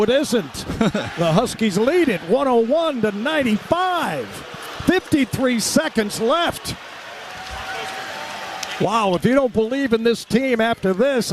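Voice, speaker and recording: A man doing live radio basketball play-by-play, excitable and breaking into shouts on big plays. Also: extremely high pitch, background noise. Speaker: play-by-play sports commentator.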